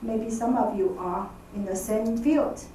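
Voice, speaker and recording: A woman's voice: fairly high pitch, measured pace, mellow. A woman with a Taiwanese accent gives an academic conference presentation as a professor, hesitating and repeating phrases as she searches for words. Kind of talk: conference presentation, semi-spontaneous. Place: echoey conference room. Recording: echoey room, distant mic, faint noise.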